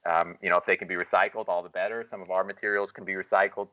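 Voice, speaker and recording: A man talks casually about his company, pausing on fillers as he goes. His voice sits low. The recording sounds muffled and lo-fi. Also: flat delivery. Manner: casual, rambling, frequent fillers. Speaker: startup representative. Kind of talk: recorded video discussion. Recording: dull lo-fi sound.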